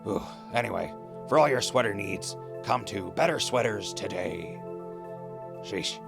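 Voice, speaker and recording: Man playing a low, gravelly wasteland radio host. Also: expressive, a little slow, music in the background, full character voice. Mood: chatty, wry. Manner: casual, wry, digressive. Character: male wasteland radio host, chatty, wry and easygoing. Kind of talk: radio drama acting with mock sponsor reads.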